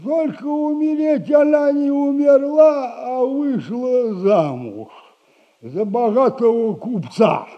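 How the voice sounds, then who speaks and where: A man's voice: very high voice, highs cut off. An actor playing a boastful old retired soldier in a theatrical radio comedy, telling rambling, self-admiring tales in an old man's voice. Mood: self-admiring, nostalgic. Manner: drawn-out, rambling, self-admiring storytelling with repeated catchphrase.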